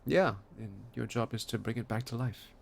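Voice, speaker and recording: An actor playing a withdrawn, brooding pianist in an audio drama, with a husky, creaky voice and a terse, guarded manner. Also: male voice, mid-range voice, moderate pace, natural voice. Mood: reflective. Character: withdrawn, brooding male pianist in a strained romance. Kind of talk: naturalistic audio drama acting with inner monologue.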